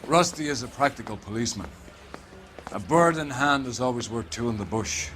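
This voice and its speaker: An actor playing a domineering, sly older police captain in a video game crime drama, pompous and patronizing. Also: male voice, medium pitch, normal speed, fairly bright tone, faint music behind, lightly acted. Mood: smug persuasion.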